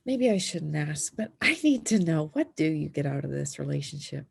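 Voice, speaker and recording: Husky, creaky-voiced teenage girl played by a woman. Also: sits low, very animated, moderate pace, exaggerated voice. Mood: tender. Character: earnest, worried transgender teenage girl snowboarder in love. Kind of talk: screenplay table read, acted dialogue.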